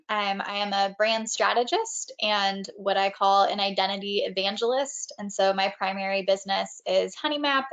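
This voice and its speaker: A woman's voice: mid-range voice, fairly bright tone, dull audio. A woman guest speaker presenting on a webinar from a makeshift home setup, speaking in a friendly, candid way with frequent ums. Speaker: guest speaker and brand strategist.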